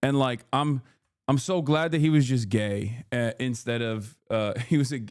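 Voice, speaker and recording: Male streamer, 30s, mid-pitched, bantering with chat, swears a lot, dry close sound. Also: steady tone, normal speed, smooth, no echo. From Turkey. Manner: loud, joking, slangy, swears freely. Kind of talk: casual livestream chat.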